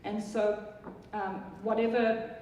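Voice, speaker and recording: A woman's voice: medium pitch, little pitch movement, slow, dark tone, a little hiss or hum. A woman from South Africa with a South African accent, giving a chatty live product talk to an audience, with many ums and restarts. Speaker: software product presenter. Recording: echoey hall from far off.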